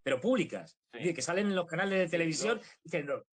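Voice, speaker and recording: A man with a fairly high voice talks in a live political discussion. His speech is conversational and sits quietly in the recording, as an original track under dubbing. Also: expressive, very fast.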